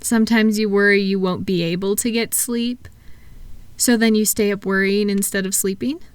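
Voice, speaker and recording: A woman speaking softly and gently, at times breathy, in an unhurried, reassuring one-sided ASMR roleplay as a calming practitioner. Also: medium pitch, no echo. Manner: soothing, reassuring, unhurried, asking gentle questions. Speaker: audio roleplay performer playing a thought-calming practitioner.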